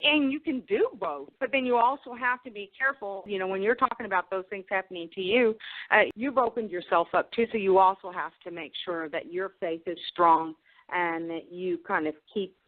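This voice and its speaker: A woman's voice: mid-range voice, moderate pace. A woman hosting a talk show in a casual, earnest, advising conversational style. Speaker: show host. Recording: narrow phone-like radio sound.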